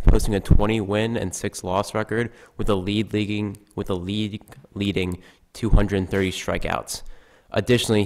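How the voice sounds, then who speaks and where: Teen student reading a formal introduction. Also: male voice, lowish voice, rough recording. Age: teens. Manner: steady, list-like reading with small slips. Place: school auditorium stage at a lectern. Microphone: podium mic.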